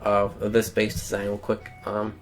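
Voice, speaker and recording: A man's voice: on the low side, completely flat delivery, moderate pace, soft background music. Rambling young man narrating a mobile game. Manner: rambling, many "um" fillers. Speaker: gameplay commentator. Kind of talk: casual game commentary.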